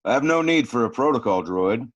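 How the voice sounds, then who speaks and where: A man playing a gruff, curt farmer uncle with a brusque, commanding manner in a script read. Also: mid-range voice, lively intonation, brisk, no echo, lo-fi sound, natural voice. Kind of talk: virtual script table read.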